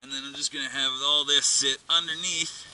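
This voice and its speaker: A man's voice: medium pitch, steady tone, brisk. A man giving a casual hands-on tutorial as a vlogger, informally thinking aloud while he works inside a car. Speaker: vlogger demonstrating a car radio install. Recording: noisy car interior with clicks.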